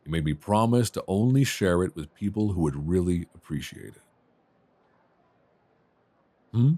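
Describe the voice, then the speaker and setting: A man's voice: deep voice, animated, fairly quick, dark tone, no room sound. A man playing a chatty, easily flustered, flirtatious airline passenger in a romance roleplay audio, rambling and hesitating in a self-deprecating way. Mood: friendly, teasing. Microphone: close binaural microphone.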